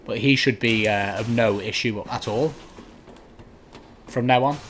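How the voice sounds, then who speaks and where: Male gameplay commentator, mid-pitched, casual step-by-step guide, faint typing and clicking behind. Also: a little slow, fairly bright tone. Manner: explanatory, step-by-step guiding. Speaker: video game walkthrough commentator. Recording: faint keyboard and click noise.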